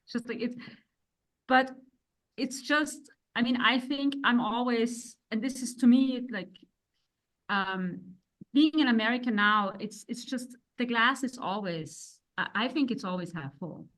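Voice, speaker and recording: A woman talks as a guest in a casual interview, her voice a little high. She gives her views at length in a rambling way. She often breaks off and restarts her sentences. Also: unhurried, a bit bright, poor audio. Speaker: guest, wife of the other guest.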